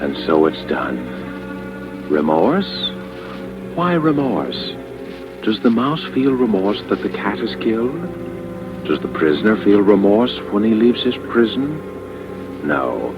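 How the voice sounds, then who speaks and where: Brooding killer in an old radio play, low voice, swings all over, dull recording w music behind. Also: male voice, big pitch swings. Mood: grim triumph. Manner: theatrical, brooding, halting self-talk. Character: cold, desperate male murderer scheming his perfect crime. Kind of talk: vintage radio drama inner monologue.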